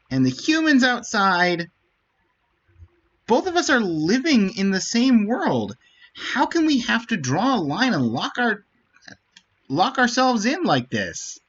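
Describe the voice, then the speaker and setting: A streamer acts out a bubbly teenage fairy-folk girl from a fantasy game. She is exclamatory and defiant, with very animated pitch and an unhurried pace. The recording is a little dull. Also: heavily acted. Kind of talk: dramatic read-aloud of game dialogue.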